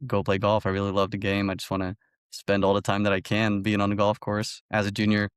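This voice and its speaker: A man's voice: on the low side, completely flat delivery, a little fast, clear, resonant tone, no room sound, studio quality. A man in his early twenties from Belgium, an athlete telling his story casually as an interview guest. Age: early 20s. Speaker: college golfer, interview guest.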